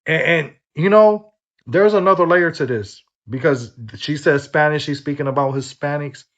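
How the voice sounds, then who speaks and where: A man's voice: fairly high pitch, moderate pace, fairly bright tone. A man arguing in a heated, rhetorical way, recorded on a dull lo-fi track.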